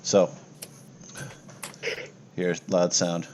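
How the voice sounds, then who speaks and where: A man's voice: deep voice, level tone, drawn-out words, a little mellow. A man acting as game master on a voice call, narrating a tabletop game in casual talk peppered with swearing. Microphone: online voice call. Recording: noisy home voice-chat recording.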